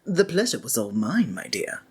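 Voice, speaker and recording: A woman playing a flamboyant, courtly and sinister stage magician in a theatrical ASMR roleplay, with flowery, drawn-out, flattering phrasing. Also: extremely low pitch, breathy edge, heavily acted. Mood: gracious, confiding.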